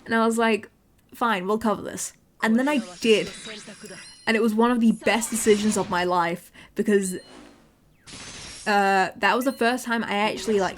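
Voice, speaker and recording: Chatty English woman streamer. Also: fairly high pitch. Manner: chatty, repeats words, many fillers. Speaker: VTuber streamer. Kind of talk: casual livestream chat.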